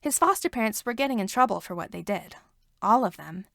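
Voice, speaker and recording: A woman reading fan fiction aloud as an expressive storyteller with wry asides. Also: mid-range voice, fairly quick, vocal fry, dry recording, clean studio sound. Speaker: podfic reader.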